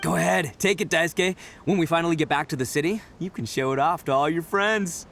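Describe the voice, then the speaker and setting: An actor voicing a brash, boisterous, big-hearted male oni gang boss in a video game, talking loudly and boastfully and laughing often. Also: a little fast, creaky, slight background noise. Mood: reassuring. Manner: loud, boastful, laughs often, lively swings in pitch. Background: game music.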